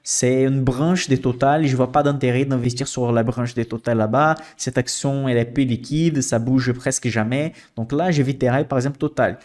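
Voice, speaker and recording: A man's voice: mid-range voice, steady tone, moderate pace, hardly any pauses, darkish tone. Man walking through a stock screener. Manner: explanatory, frequent "ok?" checks and fillers. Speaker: investing channel host. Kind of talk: screen-share finance tutorial.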